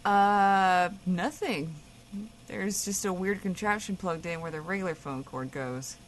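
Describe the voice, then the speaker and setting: An actress playing a blunt, teasing, bossy guest co-host who swears freely in a comedic audio drama. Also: female voice, fairly low pitch, measured pace, a little hiss or hum, natural voice.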